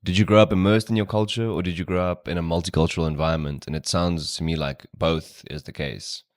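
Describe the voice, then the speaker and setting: A man's voice: low voice, fairly even intonation, quick pace, dry recording, studio quality. A young man hosting a podcast, an Afrikaans speaker. He talks in a laid-back, slangy way, saying dude and bro. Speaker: podcast host. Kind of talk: casual interview chat.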